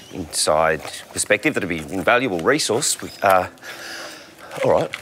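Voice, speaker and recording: A man's voice: sits low, fairly bright tone, slight background noise. A man playing a nervous, apologetic TV news reporter in a comedy film, hesitant, stammering and deferential. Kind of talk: naturalistic short-film comedy acting.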